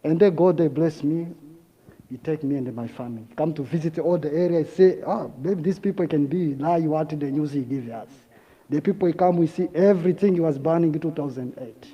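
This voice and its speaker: High voiced guy from congo, broken english, slow and repetitive, muffled lo-fi sound. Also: breathy edge. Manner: broken, repetitive phrasing, unhurried with long pauses. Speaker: guest speaker. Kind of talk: halting personal testimony. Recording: dull lo-fi sound.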